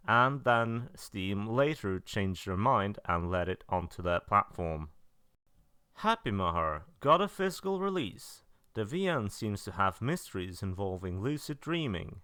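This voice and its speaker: A man's voice: lowish voice, sharp tone, smooth, dry recording, studio quality. A man narrating an informal scripted review, matter-of-fact with casual asides. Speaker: video narrator reviewing releases.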